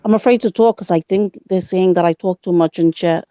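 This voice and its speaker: A woman's voice: fairly low pitch, a little fast, warm tone, no echo. A woman chatting casually in a group voice call, laughing and bantering playfully. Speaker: chat participant. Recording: dull dry lo-fi mic.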